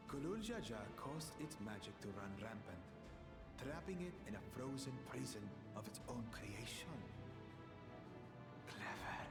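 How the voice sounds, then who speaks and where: A calculating schemer in a dramatic fantasy game. His voice is fairly deep and composed, ringing in an echoey space beneath loud music. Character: cunning, composed man scheming to wake a monster. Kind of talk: dramatic video game voice acting.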